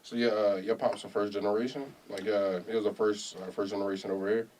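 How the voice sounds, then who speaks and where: A man hosting a podcast interview in relaxed, slangy, playful chat. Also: fairly low pitch, fast, far from the mic. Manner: laid-back, slangy, playful. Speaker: podcast host.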